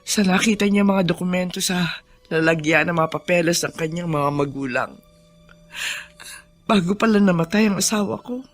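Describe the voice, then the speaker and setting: Woman acting a sorrowful, gentle Filipino mother. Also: on the low side, normal speed, natural voice. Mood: sorrowful, hopeful. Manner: earnest, soft, pleading. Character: sorrowful, gentle older mother seeking her daughter's forgiveness. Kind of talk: Filipino radio drama acting. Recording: background music under dialogue.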